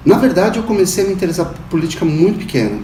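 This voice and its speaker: A man's voice: high voice, very animated, dark tone. A Brazilian professor in his fifties answers in a careful, academic manner. His voice sounds a little distant, with a roomy echo and a faint background hum. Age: 50s. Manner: measured academic explaining. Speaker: university professor interviewee. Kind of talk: interview answers.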